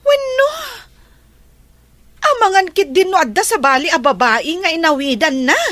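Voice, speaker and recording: High-voiced, anxious Ilocano young woman. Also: animated, moderate pace, a bit bright, no room sound. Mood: suspicious, pouting. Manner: emotional, plaintive. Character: Lilia, anxious, heartbroken young pregnant woman, betrayed lover. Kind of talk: Filipino radio drama acting.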